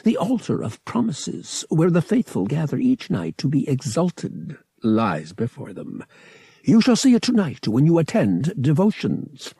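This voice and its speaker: A man voicing an alien priest who guides pilgrims, speaking in a formal, measured, explanatory way. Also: on the high side, wildly swooping pitch, gravelly, dark tone, breathy edge, no room sound, voice pushed up, full character voice. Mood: formal, proud.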